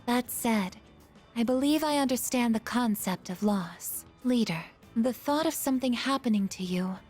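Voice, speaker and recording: Breathy, earnest female android. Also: a little high, animated, darkish tone, soft background music. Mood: tender, earnest. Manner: measured, formal phrasing. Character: earnest female android, formal, gentle, self-doubting. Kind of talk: video game voice acting.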